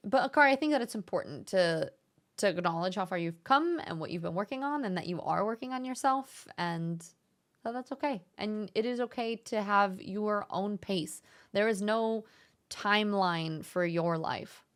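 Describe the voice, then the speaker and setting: A young woman hosting a casual live-stream chat, speaking in a gentle, encouraging and thoughtful way. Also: mid-range voice, animated, normal speed. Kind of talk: casual live-stream chat, reading viewer messages aloud.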